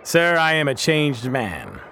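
Reformed pirate captain, radio play, male actor: earnest, pious, blunt, slightly raised pitch. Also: huge pitch swings, takes it slowly, sharp tone, a little hiss or hum, full character voice. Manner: blunt, solemn, formal old-fashioned speech. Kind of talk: radio drama acting.

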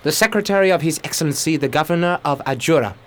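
A man's voice: fairly high pitch, fast, fairly bright tone, lightly acted. A pompous, stiff secretary to an official, acted by a man, speaks in formal phrases in a radio drama.

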